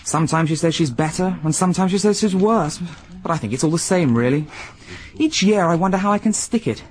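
An actor playing a breezy, flippant young gentleman in a BBC radio drama, with an easy upper-class drawl. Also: sits high, expressive, brisk.

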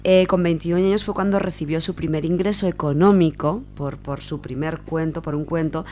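A woman's voice: low voice, steady tone, normal speed, dark tone, slight background noise. A woman from Spain presenting on radio, giving an informal, semi-prepared account in an explanatory way, restating points as she goes.

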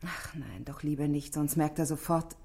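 An actress playing a self-possessed, guarded married shop manageress in a radio drama, speaking precisely and defensively. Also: female voice, deep voice, normal speed, vocal fry, mellow, slightly rough, exaggerated voice. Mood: agitated, wounded. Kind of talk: 1960s German radio drama acting.

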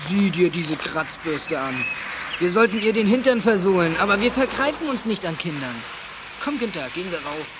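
A man's voice: sits high, animated, normal speed, heavy background noise. A man playing a swaggering, mocking teenage bully in a children's audio drama, with a mannered, menacing way of talking. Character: sneering, swaggering teenage bully boy, sixteen.

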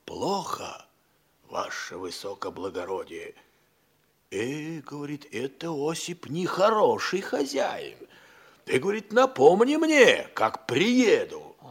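Актёр в театральной манере радиоспектакля играет простоватого с виду, но хитрого крепостного слугу, почтительного и по-народному неторопливого. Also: male voice, fairly high pitch, wildly swooping pitch, takes it slowly, slightly rough, exaggerated voice. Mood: sly, folksy. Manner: folksy, drawling, deferential, hesitant and crafty. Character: sly, humble serf manservant, folksy and obsequious. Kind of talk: theatrical radio-play acting of classic comedy.

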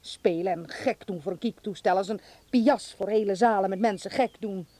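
A woman's voice: medium pitch, normal speed, dark tone, natural voice. A woman acting a bitter, domineering, scornful middle-aged mother in a Dutch radio drama, indignant and reproachful.

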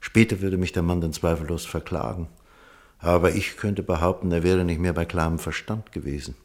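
A doctor in a radio play, with a low-pitched voice. He speaks in a firm, measured way, with the authority and insistence of a man used to giving orders. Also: fairly even intonation, a little mellow. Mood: grave, recounting. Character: male doctor, urgent, authoritative, reasoning and imploring. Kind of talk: German radio play acting with first-person narration.

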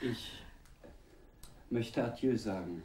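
Soft, husky, hesitant young man. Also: fairly low pitch, heavily acted. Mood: subdued, polite. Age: young adult. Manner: hesitant, courteous, halting. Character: earnest, hesitant young man, polite and troubled. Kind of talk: German radio drama acting.

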